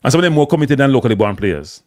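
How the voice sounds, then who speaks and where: A man's voice: medium pitch, very animated, very fast, darkish tone, no echo, studio quality. A man with a Jamaican accent delivering a blunt, heated football rant, slipping into patois. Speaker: football commentator. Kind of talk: live opinion rant.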